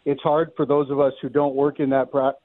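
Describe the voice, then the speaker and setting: A man's voice: medium pitch, flat delivery, fairly quick. Middle-aged man on a tinny phone line. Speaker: baseball play-by-play announcer, radio guest. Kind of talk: unscripted sports analysis in a live radio interview. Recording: roomy, thin narrow-band phone line.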